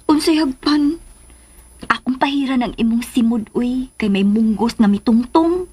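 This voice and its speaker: A woman's voice: a little high, unhurried, warm tone, breathy edge, no room sound. A woman with a Cebuano accent playing an anxious, protective middle-aged mother in love in a radio drama. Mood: playful, flustered.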